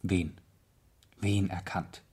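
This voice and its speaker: An actor in a German radio play playing a composed aristocratic gentleman detective, polite and matter-of-fact. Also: deep voice, steady tone, very slow, slightly rough, exaggerated voice. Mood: casual, curious.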